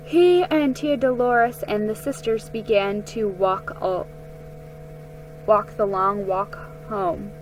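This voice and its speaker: A woman giving a dramatic, expressive reading of a children's story, with a slightly halting delivery. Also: medium pitch, a little hiss or hum, low-quality recording. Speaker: amateur story reader. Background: music under the reading.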